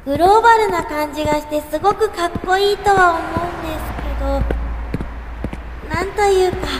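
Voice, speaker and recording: A woman's voice: very high-pitched, normal speed, reverberant, long reverb tail, over music. A woman playing an earnest, polite, small young male college student in an audio drama. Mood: musing. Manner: polite, formal, soft-spoken earnest delivery. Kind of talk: audio drama monologue and dialogue.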